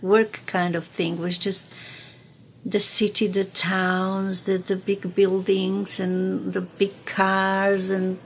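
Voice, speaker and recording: An older woman with a Slovenian accent, a native Slovenian speaker, reminiscing in an interview in a small radio studio, with halting phrasing and many fillers. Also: mid-range voice, little pitch movement, a little hiss or hum, rough recording. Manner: slow, halting speech with many fillers and restarts, gestures with hands. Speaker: interviewee, community radio broadcaster.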